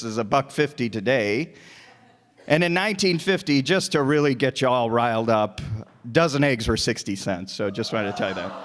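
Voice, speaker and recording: A man's voice: mid-range voice, fairly bright tone. A man chatting as host, giving a live introduction to a radio play. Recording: echoey hall with long reverb.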